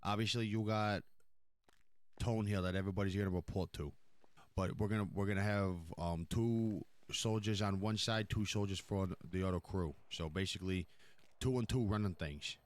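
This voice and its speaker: A man's voice: lowish voice, fairly even intonation, moderate pace, dry recording. A man improvising a mafia boss in a role-play, talking conversationally in a profane, rambling, explanatory way. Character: mafia boss, profane, laying down the crew's hierarchy. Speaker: role-play streamer playing a crime boss.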